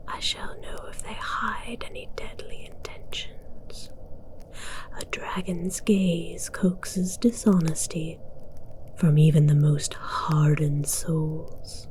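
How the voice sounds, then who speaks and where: A woman voicing an imperious, menacing dragon queen in an ASMR roleplay, speaking formally in a breathy near-whisper. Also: deep voice, dark tone.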